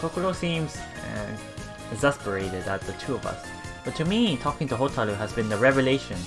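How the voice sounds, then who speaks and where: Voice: mid-range voice, big pitch swings, fairly quick, gravelly, music in the background. A let's play host reading game text aloud with casual commentary, often stumbling and repeating words.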